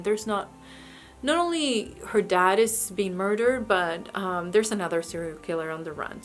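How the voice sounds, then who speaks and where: A woman's voice: mid-range voice, a bit bright. Chatty woman with a mid-range voice. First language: not English. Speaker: book vlogger. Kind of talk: casual book haul chat.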